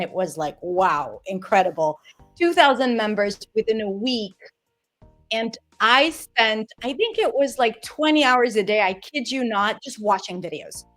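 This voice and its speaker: Israeli-accented woman telling her story. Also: mid-range voice. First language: Hebrew. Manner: enthusiastic, animated storytelling with restarts. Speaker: community founder, guest. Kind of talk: casual interview talk.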